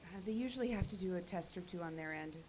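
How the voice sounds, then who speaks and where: A woman acting in a live audio drama as a wry, teasing hiker with an expressive, sarcastic delivery. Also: on the low side, fast talker, noisy background, lightly acted. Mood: businesslike.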